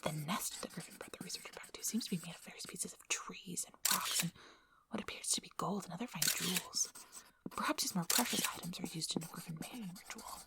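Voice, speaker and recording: A woman playing a nervous, apologetic, injured young field researcher in an ASMR audio roleplay, breathy and hesitant, stammering as she goes. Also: on the low side, quick pace, lightly acted. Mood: hushed, studious.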